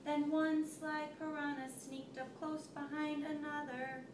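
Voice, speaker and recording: A woman's voice: high-pitched, little pitch movement, unhurried. A woman teacher reading a picture book aloud to a child, telling it expressively and stopping to ask questions. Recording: echoey room, recorded from far off, noisy.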